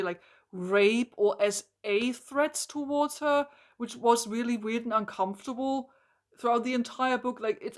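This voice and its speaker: Hoarse woman ranting about a book. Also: on the high side. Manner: exasperated, emphatic ranting with frequent "like" and restarts. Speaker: book reviewer.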